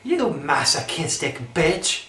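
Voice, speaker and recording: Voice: medium pitch, big pitch swings, slow pace, over music. A comedy film review host with a sarcastic, theatrical, mock-imperious way of delivering scripted commentary. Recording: roomy echo, faint background noise.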